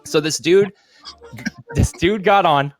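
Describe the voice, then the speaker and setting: A man's voice: a little high, slow, faint music behind. A man co-hosting a podcast, chatting casually and telling stories in an informal, sweary way. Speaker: podcast co-host. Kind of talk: casual chat and reading a story aloud.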